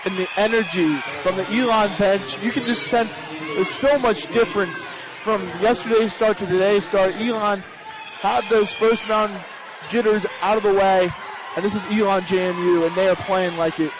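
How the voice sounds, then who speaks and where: A man gives live basketball analysis as a color commentator. His voice is high and emphatic, with a gym crowd clearly audible behind him. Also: fairly even intonation, moderate pace, low-quality recording. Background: crowd and bouncing basketballs.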